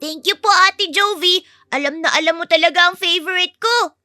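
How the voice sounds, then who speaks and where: A woman's voice: extremely high pitch, normal speed, bright, forceful tone, no room sound, clean studio sound, faint music behind, voice pushed up. A woman voicing a polite, earnest, sweet little boy in a dramatised story.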